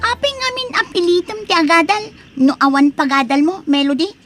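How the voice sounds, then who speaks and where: A woman's voice: very high voice, big pitch swings, fairly quick, a bit bright, soft background music, exaggerated voice. A woman playing a spoiled, haughty college-age daughter in an audio drama, whiny, scornful and demanding. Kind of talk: Ilocano audio drama acting.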